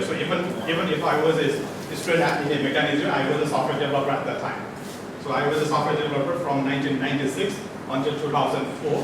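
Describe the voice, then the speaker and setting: A man in his forties from Nepal, giving a conversational guest lecture and quizzing the audience. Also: mid-range voice. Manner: conversational, asks the audience questions, many restarts. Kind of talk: informal classroom lecture. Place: large echoey lecture hall. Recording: echoey hall, distant mic, noise.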